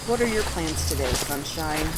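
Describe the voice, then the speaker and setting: A woman's voice: sits low, lively intonation, normal speed, heavy background noise, voice pushed down. A woman voicing an easygoing adult male, the eldest of a group of bachelor friends, in an audiobook story. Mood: casual.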